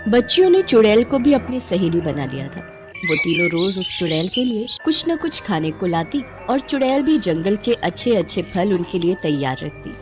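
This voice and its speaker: A woman's voice: medium pitch, sing-song, normal speed. A woman storyteller giving scripted narration of a children's bedtime story. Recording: music behind the voice.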